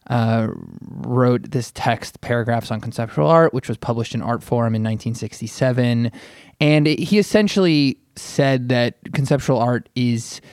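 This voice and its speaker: A man's voice: mid-range voice, darkish tone, no room sound, clean studio sound. A man in a casual discussion, explaining as he thinks aloud, often hedging and correcting himself. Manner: thinking aloud, halting and self-correcting, with many "kind of" hedges. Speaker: podcast panelist and arts writer.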